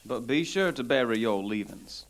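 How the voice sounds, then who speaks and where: An actor playing a prim, formal elf guide who speaks in lofty diction. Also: male voice, mid-range voice, expressive, normal speed, slight background noise, lightly acted. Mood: haughty. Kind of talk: audio drama acting.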